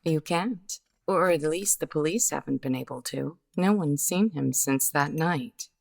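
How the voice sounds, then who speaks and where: A woman playing a weary, guarded, bittersweet widow in the style of a 1950s radio detective drama, speaking in a measured, hesitant way. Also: sits low, gravelly, darkish tone, exaggerated voice. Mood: jaded, matter-of-fact.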